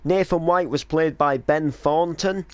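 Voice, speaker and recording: A man's voice: a little high, measured pace, dry recording. A man reminiscing casually on a stream as the creator of an online drama, explaining storylines in an informal, rambling way.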